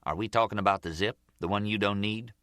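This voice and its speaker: A male audiobook reader plays an unflappable tough lawman in a crime novel. He is terse and defiant, with a low, firm voice and a very dry studio sound. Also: fairly even intonation, fast talker, a bit bright, clean tone, no echo, lightly acted. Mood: cool, probing. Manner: terse, unyielding.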